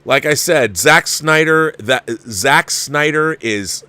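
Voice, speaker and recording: A man's voice: fairly high pitch, slow, bright, forceful tone, smooth, no echo. A man hosting a livestream, giving casual film commentary and answering viewers, repeating phrases for emphasis and often restarting his sentences. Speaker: livestream host reviewing a film.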